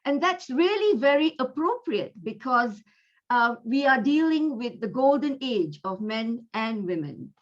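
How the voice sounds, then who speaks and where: Malaysian woman, slow, lively pitch, lecturing, dull lo-fi call audio. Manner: unhurried, chatty, with fillers. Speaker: guest lecturer, gynecologist. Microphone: video call.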